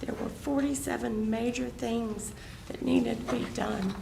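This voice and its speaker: A hoarse older woman earnestly explaining her property appeal at a public hearing. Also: a little high, steady tone, unhurried, background noise.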